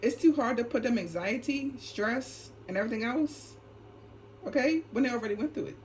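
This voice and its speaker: A woman's voice: fairly high pitch, expressive, moderate pace, darkish tone. Woman giving a card reading, noisy background. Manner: emphatic, repetitive, keeps checking in with short tags. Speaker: tarot reader and channel host. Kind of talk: unscripted intuitive card reading, casual spiritual talk. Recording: clearly noisy ambient background.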